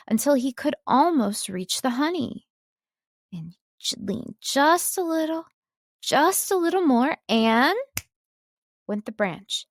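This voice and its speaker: Slow-paced woman narrating an audiobook. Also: fairly high pitch, no echo, studio quality. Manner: expressive, dramatic storytelling. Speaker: audiobook narrator, voice-over artist. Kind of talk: scripted storybook and fantasy narration.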